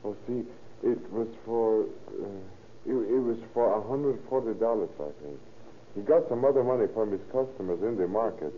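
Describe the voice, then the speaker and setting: A man's voice: lowish voice, moderate pace, natural voice. Muffled old radio Mexican suspect, an actor's humble man. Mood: deferential, uneasy. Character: nervous Mexican male suspect, humble and protesting innocence. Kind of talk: 1930s-40s radio drama acting. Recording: muffled, noisy old-time radio recording.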